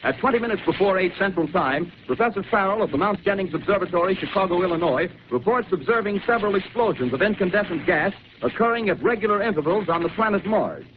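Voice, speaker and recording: A man announcing in a brisk, formal 1930s radio style. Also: sits high, expressive. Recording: dull noisy 1930s radio recording.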